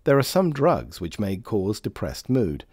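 A man reading scripted instructional narration for a training film in a measured, formal, carefully enunciated way. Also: on the low side, wildly swooping pitch, a little mellow, slightly rough, no echo, studio quality.

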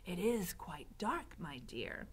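A woman's voice: mid-range voice, sing-song, unhurried, heavily acted. Woman putting on a sly whispering storybook rat. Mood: sly, hushed. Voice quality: hushed, breathy whisper. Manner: formal, mock-polite, condescending. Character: sly, suave, sinister male rat in a red cloak. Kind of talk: storybook character voice.

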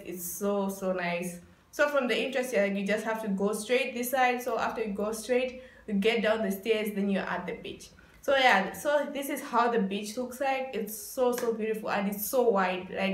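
Woman, twenties, casual vlog narration, chatty, repetitive emphasis, medium pitch, echoey small room. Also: moderate pace. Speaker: vlogger. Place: small indoor room with bare walls. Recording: roomy echo.

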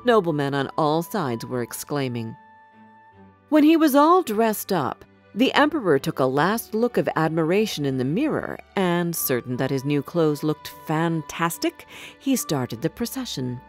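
A woman tells a children's fairy tale in a fairly low voice. Her scripted narration is measured and expressive, with lively rises and falls, and soft music plays behind her. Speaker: storyteller.

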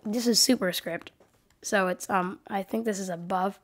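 A woman's voice: mid-range voice, fairly even intonation, moderate pace. Rambling young woman with a cold. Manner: rambling, hesitant, many ums and self-corrections. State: has a cold, sniffling. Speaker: coding tutorial host. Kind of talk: casual screen-recorded tutorial.

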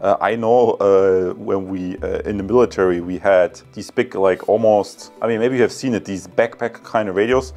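A man in his thirties with a German accent, giving a casual, halting product review and unboxing to viewers. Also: on the low side, a little mellow, soft background music. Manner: hesitant, halting, addresses viewers as guys.

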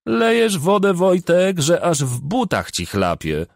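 A male narrator plays a grumpy old villager in his seventies. He scolds bluntly and indignantly in a high, gravelly voice, as heard in an audiobook. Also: wildly swooping pitch, measured pace, dry recording, clean studio sound, exaggerated voice.

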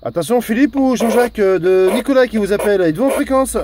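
A man making halting, formulaic calls as a radio operator, repeating himself in a procedural style. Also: sits high, mellow, slight background noise. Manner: repetitive, halting, formulaic announcing. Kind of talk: CB radio calling, formal procedural hailing.

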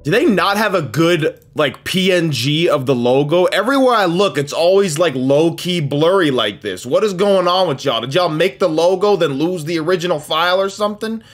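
Young american dude doing a reaction, slightly high voice, sweary and slangy, faint music behind him. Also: male voice, expressive, normal speed, hardly any pauses. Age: young adult. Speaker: reaction video host. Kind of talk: casual trailer reaction commentary. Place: small indoor room at home. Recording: trailer soundtrack playing behind voice. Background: music from the trailer.

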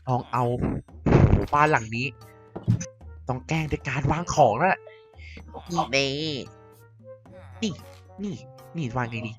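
A woman's voice: rumbling low, a little slow, long pauses, faint music behind. A woman voicing a mischievous ancient vampire queen in a Minecraft cartoon, playfully scheming and muttering to herself.

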